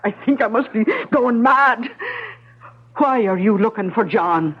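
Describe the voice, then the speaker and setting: A woman's voice: fairly high pitch, lively intonation, a little slow, breathy edge. An actress playing a bewildered, devoted policeman's wife in a 1970s radio drama, hesitant, earnest and stammering. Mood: confused, distressed. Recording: dull 1970s AM radio broadcast recording.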